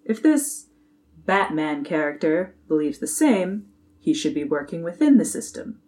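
Stern police captain, played by a woman: low-pitched, formal and deadpan, with swooping intonation, for audio drama. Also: a little slow.

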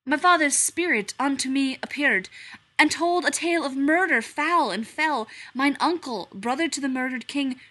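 A woman's voice: sits high, normal speed, pushed and bright, voice pushed up. A woman voicing a melodramatic young male prince, declaiming verse theatrically. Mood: anguished.

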